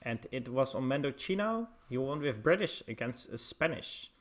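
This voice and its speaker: A man with a Dutch accent casts a live esports match. He talks in a relaxed, rambling way, filling pauses with "uh" and "um" as he answers viewers in the chat. His voice sits at a middle pitch. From Netherlands. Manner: loose and chatty, many filler words, replying to the chat. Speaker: esports caster. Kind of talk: casual live stream commentary.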